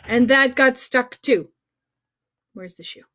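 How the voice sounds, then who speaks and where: A woman with a high voice tells stories to young children at a slow, deliberate pace. She is playful and very expressive, talking straight to her little listeners as the host of a read-aloud. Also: slight background noise. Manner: playful, exaggerated expression, addressing children directly. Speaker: children's storyteller and singer hosting a livestream.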